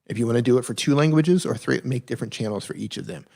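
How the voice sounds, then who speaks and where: A man's voice: medium pitch, rapid-fire, gravelly, dark tone. A man hosting a live-stream Q&A, giving plain-spoken, direct advice in a casual, conversational way and often correcting himself mid-sentence. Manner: reads viewer questions aloud, then answers conversationally. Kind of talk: casual live-stream chat answering viewer questions.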